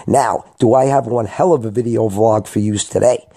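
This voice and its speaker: A man with a voice hoarse from a cold, giving emphatic, colloquial commentary as an enthusiastic vlogger. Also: lowish voice, normal speed, warm tone.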